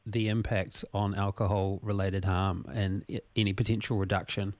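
A man's voice: on the low side, flat delivery, moderate pace, a little mellow, no room sound. New Zealand man hosting a radio chat. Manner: conversational, hesitant with fillers when asking questions. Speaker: radio host. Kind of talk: radio interview.

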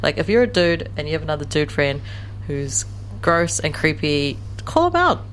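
Low-voiced New woman, animated. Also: normal speed, a little hiss or hum, rough recording. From New Zealand. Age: late 20s. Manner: animated, laughs often, many "like" fillers. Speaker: guest, co-creator of a series. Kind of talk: casual interview chat.

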